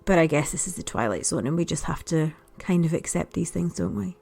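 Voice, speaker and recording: A woman's voice: lowish voice, a little fast, vocal fry, dark tone, slightly rough. An adult woman giving a casual spoken review as a podcast contributor, chatty, reflective and understated. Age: adult parent.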